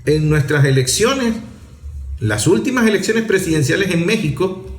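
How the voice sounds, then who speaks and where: A man's voice: a little high, lively intonation, soft background music. Emphatic Venezuelan man, echoey room. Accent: Venezuelan Spanish. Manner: emphatic, rhetorical, deliberate phrasing with pauses. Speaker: political commentator on an online program. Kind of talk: political commentary monologue.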